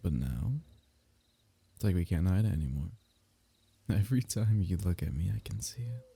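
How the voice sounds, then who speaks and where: A young man speaking softly and intimately in an ASMR roleplay, teasing and sometimes dropping into a whisper. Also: sits low, normal speed, gravelly, breathy edge.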